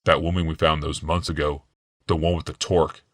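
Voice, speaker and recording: A man voicing a composed, persuasive male physician in an audiobook, measured and smooth talking. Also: rumbling low, level tone, a bit bright, no echo, studio quality, pitched below the performer's own voice.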